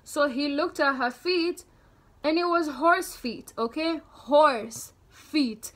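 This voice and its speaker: A young woman with a notably high voice chats through a personal story like a vlogger. She is from Tanzania and talks in loose slang, leaning hard on her points with animated emphasis. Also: measured pace. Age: young adult. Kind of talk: casual chatty storytelling.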